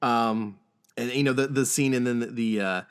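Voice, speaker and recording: A man co-hosting a podcast in a casual discussion, with a halting, stammering delivery. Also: mid-range voice, clear, resonant tone. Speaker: podcast host.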